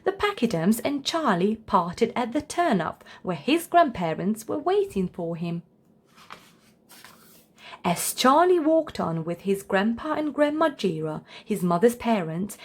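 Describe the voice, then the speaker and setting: A woman's voice: on the high side, sing-song, a little slow, slightly rough. A woman reading a scripted children's story aloud as narrator.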